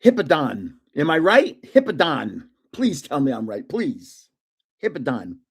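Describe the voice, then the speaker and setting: A man's voice: wildly swooping pitch, measured pace, vocal fry, husky. A man in his seventies hosting a casual livestream, telling rambling anecdotes with frequent laughter. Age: 70s. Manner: self-described booming voice when yelling. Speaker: livestream host opening trading cards. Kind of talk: casual storytelling chat.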